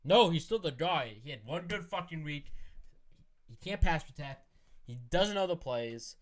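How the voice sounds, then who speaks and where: A man's voice: on the high side, animated, moderate pace. A man co-hosting a fantasy football podcast, bantering casually in a brash, curt, sweary way.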